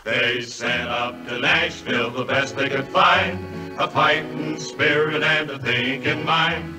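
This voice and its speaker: A man's voice: mid-range voice, fairly even intonation, slow pace, close to an everyday voice. A man singing as the narrator of a frontier folk ballad on a 1950s record, with music behind him. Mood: rousing balladry. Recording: roomy echo under loud music.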